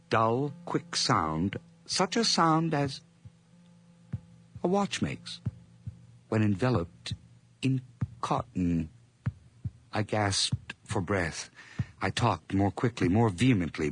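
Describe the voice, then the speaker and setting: An actor playing a nervous, guilt-ridden murderer in an old radio drama, halting, repetitive and intense. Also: male voice, lowish voice, big pitch swings, fairly bright tone, husky, full character voice. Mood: haunted narration. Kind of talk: old radio drama acting and first-person narration.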